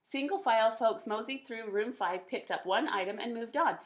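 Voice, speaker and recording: Higher-voiced woman reading a children's storybook. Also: normal speed. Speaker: teacher reading a picture book aloud. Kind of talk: expressive children's storybook read-aloud.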